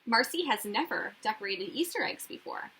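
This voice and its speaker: A woman reads a children's picture book aloud. Her voice is fairly high and bright, slightly husky, and moves up and down with lots of expression. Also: expressive, moderate pace. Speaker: children's story reader.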